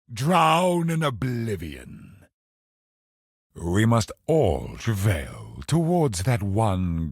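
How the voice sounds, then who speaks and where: An actor voicing a grave, bitter, ancient cosmic sorcerer in a video game, speaking in solemn, portentous, theatrical lines with a husky voice. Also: male voice, fairly low pitch, dry recording, clean studio sound, heavily acted. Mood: dark, incantatory. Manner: solemn, portentous, dramatic slow delivery.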